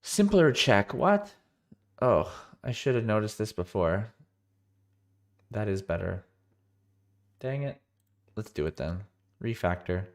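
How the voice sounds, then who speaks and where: A man's voice: on the low side, big pitch swings, slow, pauses often. A man with a cold walking through his code on a live stream, tired and thinking aloud, often correcting himself. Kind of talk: live coding walkthrough.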